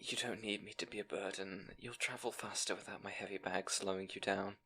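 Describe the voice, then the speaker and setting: A man's voice: deep voice, steady tone, fairly quick, sharp tone, husky, heavily acted. Man acting a nervous, stammering young scribe. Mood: tearful, defeated. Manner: anxious, hesitant, stammering. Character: nervous, bookish young male scribe, timid and eager. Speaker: audio roleplay performer. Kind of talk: audio roleplay acting, addressing the listener.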